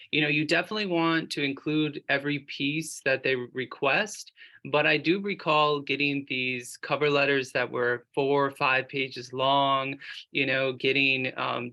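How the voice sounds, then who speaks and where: Man, mid-range pitch, bright and forceful tone, frank, says "you know", hiring committee member. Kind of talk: Q&A panel closing remarks.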